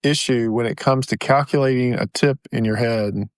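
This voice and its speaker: A man's voice: mid-range voice, fairly even intonation, moderate pace, no room sound. A man co-hosting a podcast in casual conversation, explaining things through examples and anecdotes. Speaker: podcast co-host.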